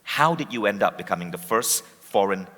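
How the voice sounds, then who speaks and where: Enthusiastic stage host with a bright voice. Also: male voice, on the low side, animated, normal speed. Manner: enthusiastic, hyping the guest. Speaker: event host and interviewer. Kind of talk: stage introduction and interview. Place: large event hall on stage. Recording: long hall reverb.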